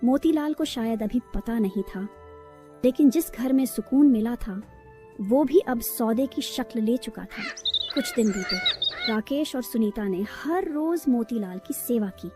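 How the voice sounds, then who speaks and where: A woman's voice: a little high, expressive, measured pace, music in the background. A woman narrating a scripted moral story as a storyteller.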